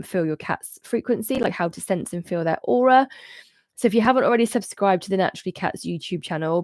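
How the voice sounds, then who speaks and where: A woman, congested and a bit muffled, talking casually to viewers on a live stream from a bright room at home into a studio mic. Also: on the low side, no room sound. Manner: chatty, gesturing, rambling with restarts. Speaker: host of a cat energy channel. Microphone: studio microphone on arm in front of her.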